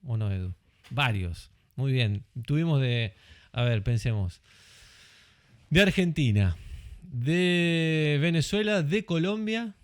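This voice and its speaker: A man's voice: fairly high pitch, lively intonation, takes it slowly, clear, resonant tone, dry recording. A man with a Uruguayan Spanish accent hosting a podcast in a studio, talking in a chatty, friendly way with many asides. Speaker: podcast host. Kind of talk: casual chat.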